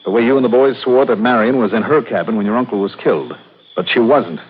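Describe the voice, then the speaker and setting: An American actor playing a shrewd, hard-boiled investigator in a radio drama, with clipped, dramatic, wry delivery. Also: male voice, mid-range voice, fast, breathy edge, natural voice. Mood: firm, accusing. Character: shrewd, hard-boiled male insurance investigator narrating his case. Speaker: lead actor and star of the radio series. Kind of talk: 1960s radio detective drama acting. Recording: tinny old radio broadcast, music behind.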